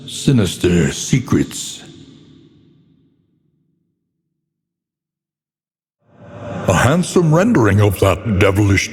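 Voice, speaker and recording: Very deep, ominous gothic narrator. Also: male voice. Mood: grave, solemn. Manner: ominous, solemn, deliberate, ornate phrasing. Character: grave, brooding male narrator of gothic horror game. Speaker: game narrator. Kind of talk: theatrical gothic scripted narration. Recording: long cavernous reverb.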